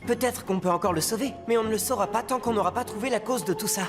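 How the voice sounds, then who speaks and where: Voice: mid-range voice, quick pace, over music, lightly acted. An actor voicing an earnest, plucky teenage boy hero in a cartoon, eager and decisive. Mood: urgent, determined. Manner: eager, reasoning aloud, decisive. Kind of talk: cartoon character voice acting.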